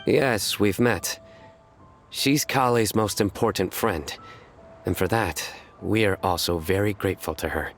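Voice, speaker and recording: A man voicing a stoic, sincere guard in a video game, speaking in a formal, terse and measured way. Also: lowish voice, over music. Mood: calm, sincere. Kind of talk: video game character voice acting.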